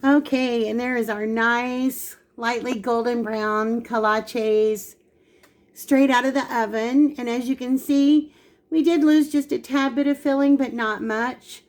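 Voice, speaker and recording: A woman hosting a home cooking video, her voice dry and raspy today, chatting casually as she explains each step. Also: fairly high pitch. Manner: chatty, explains steps while working. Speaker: cooking channel host. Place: home kitchen.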